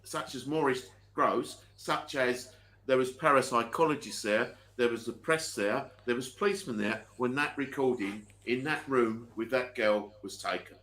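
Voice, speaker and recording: Essex man, live-stream co-host, steady and reasoned, mid pitch. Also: normal speed, rough recording. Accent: Essex English. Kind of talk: casual live-stream chat.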